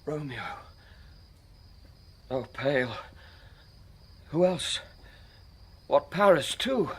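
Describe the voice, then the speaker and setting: An actor playing an older, anxious friar in a classical radio drama, formal and urgent. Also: male voice, a little high, lively intonation, extremely slow, husky, full character voice. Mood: horrified sorrow. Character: kindly old friar, anxious and horrified.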